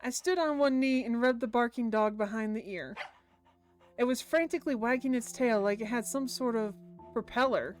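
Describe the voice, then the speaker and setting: Higher-pitched woman streamer reading aloud. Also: normal speed, soft background music. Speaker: game streamer. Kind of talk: reading visual novel translation aloud with commentary.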